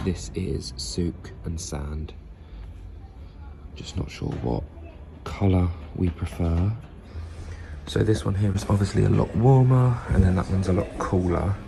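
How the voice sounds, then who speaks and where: A man with a croaky, hoarse voice, chatting casually to his vlog camera with joking asides. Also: low voice, background noise. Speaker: family vlogger. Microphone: handheld vlog camera.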